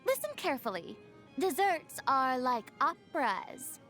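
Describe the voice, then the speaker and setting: A young game heroine: high, unhurried, haughty former stage star, refined and dramatic, wistful underneath. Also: female voice, very animated, a bit bright, faint music behind, exaggerated voice. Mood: theatrical, musing. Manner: theatrical, refined, haughty diction with dramatic swings. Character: theatrical young woman, proud, dramatic former stage idol, secretly wistful. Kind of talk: video game character voice lines.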